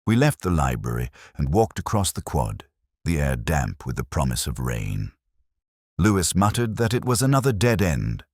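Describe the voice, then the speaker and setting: A man playing a brooding, scholarly middle-aged detective who narrates his mystery case in a measured, literary, melancholy way. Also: very deep voice, very animated, no echo, clean studio sound, exaggerated voice. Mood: grave, pensive. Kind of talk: scripted first-person mystery narration.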